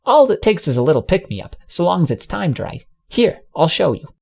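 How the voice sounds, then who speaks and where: A man's voice: sits high, sing-song, moderate pace, vocal fry, a little mellow, breathy edge, voice pushed up, exaggerated voice. A man putting on a brash folksy pony mare. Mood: blunt teasing. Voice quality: folksy, blunt, teasing drawl.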